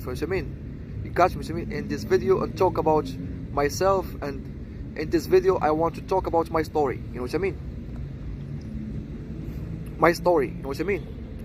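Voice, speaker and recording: A Moroccan man in his early thirties speaks in a high-pitched voice. He rambles vlog-style in short, halting phrases, checking again and again that he is understood. Clear street-like noise runs behind him. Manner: halting short phrases with constant repeated tag questions. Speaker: seduction coach introducing own channel.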